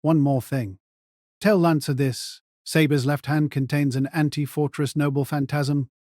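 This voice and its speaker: A man's voice: a little high, fairly even intonation, a little slow, a little mellow, dry recording, clean studio sound, close to an everyday voice. A man voicing a coolly commanding male strategist in an audio drama, terse and businesslike.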